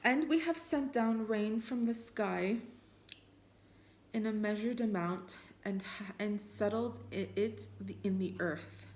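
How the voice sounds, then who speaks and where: A woman whose first language is Armenian, reflecting earnestly on faith and reading passages of scripture aloud, thinking out loud with fillers and restarts. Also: mid-range voice, slow, a little hiss or hum. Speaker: spiritual vlogger. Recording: echoey room.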